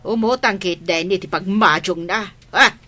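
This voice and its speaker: A woman's voice: mid-range voice, moderate pace, pushed and bright, natural voice. An actress playing a scolding, tight-fisted mother in a radio comedy drama, with an Ilocano Filipino accent. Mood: grumbling. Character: scolding mother who refuses to lend money.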